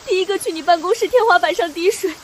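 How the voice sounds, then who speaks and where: A very high-pitched feisty assistant. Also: female voice, fast talker. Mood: desperate, indignant. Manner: exclamatory, melodramatic, complaining. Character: feisty, dramatic young female assistant in peril. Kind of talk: animated short drama acting. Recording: heavy rain noise behind voice.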